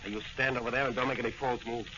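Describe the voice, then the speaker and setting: An actor playing a tough, commanding masked crime fighter with terse delivery, in 1940s radio drama style. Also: male voice, medium pitch, steady tone, very fast, muffled recording, close to an everyday voice. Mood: stern, commanding. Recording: noisy, band-limited old-time radio recording.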